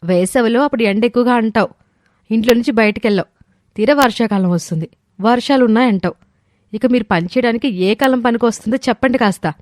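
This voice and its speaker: A woman's voice: on the high side, fast talker, a little mellow, no room sound, studio quality, lightly acted. A woman voicing an irritated, sarcastic village wife who scolds her lazy husband in a storybook tale. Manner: nagging, sarcastic. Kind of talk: storybook character voice.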